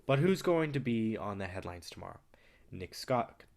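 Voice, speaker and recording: A man's voice: fairly low pitch, big pitch swings, moderate pace, vocal fry, a little mellow. A man acting in a staged play reading as a brash, cocky film distributor, swaggering and persuasive with plenty of profanity. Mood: opinionated. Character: brash, cocky, foul-mouthed male film distributor, smooth manipulator.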